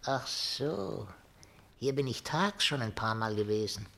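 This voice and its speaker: An actor playing a cruel, bitter little companion to an emperor in a radio play, mocking and theatrical. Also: male voice, medium pitch, animated, unhurried, gravelly, sharp tone, full character voice. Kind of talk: 1950s German radio play acting.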